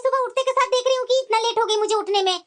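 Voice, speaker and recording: A woman's voice: very high-pitched, rapid-fire, fairly bright tone. A woman doing a sly scheming young bride. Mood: petulant. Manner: muttered asides, petulant when speaking aloud. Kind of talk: homemade family skit acting.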